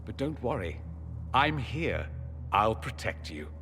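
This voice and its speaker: Witty, animated mage in reverberant hall. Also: male voice, on the low side, slow, a little hiss or hum, heavily acted. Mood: sardonic, breezy. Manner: wry, theatrical, articulate. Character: witty flamboyant male mage, confident and wry. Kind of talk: video game character acting.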